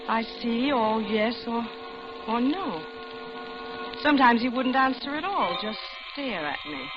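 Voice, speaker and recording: An actress playing a talkative, genteel, uneasy older widow in the style of 1940s radio drama. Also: female voice, on the high side, close to an everyday voice. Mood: uneasy narration. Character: chatty, uneasy older widow, diner customer. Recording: noisy, dull vintage radio recording.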